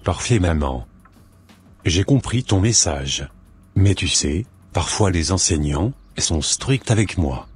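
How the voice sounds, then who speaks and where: A man's voice: sits low, expressive, slow pace, breathy edge, faint music behind, full character voice. A man playing a polite, obedient schoolboy son in a cartoon, speaking in a formal, deferential way. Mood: polite, compliant. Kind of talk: scripted cartoon dialogue.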